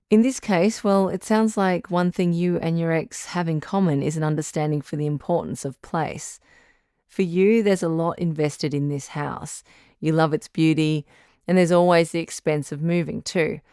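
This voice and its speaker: An actress playing a patient woman advice-show doctor in an audio drama, measured, tactful and reassuring. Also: sits low, a little mellow, clear, resonant tone, dry recording, clean studio sound.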